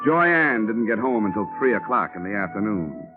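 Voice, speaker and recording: An actor playing a wry, wisecracking insurance investigator who narrates a 1950s radio detective drama. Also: male voice, fairly low pitch, sing-song, normal speed. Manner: wry, wisecracking, hard-boiled. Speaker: lead and narrator. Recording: tinny old radio broadcast with music.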